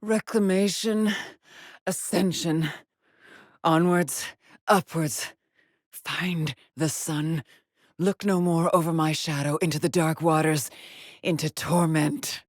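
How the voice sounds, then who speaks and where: An anguished woman astronaut, trapped and haunted. Her voice is husky and breathy, and she speaks slowly and haltingly in a dramatic video game style. The sound is close and dry. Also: deep voice, no echo. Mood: haunted, solemn. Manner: slow, halting, haunted delivery with sighs.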